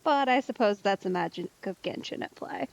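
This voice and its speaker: A woman's voice: mid-range voice, animated, moderate pace, creaky, a little hiss or hum, low-quality recording. A woman doing casual, chatty let's-play commentary as a streamer, musing aloud informally.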